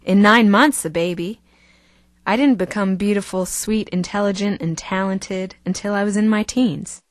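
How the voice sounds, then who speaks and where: A woman's voice: mid-range voice, moderate pace, dry recording, natural voice. An actress playing a chatty, self-assured female talk show co-host in an audio drama. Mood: wry, chatty.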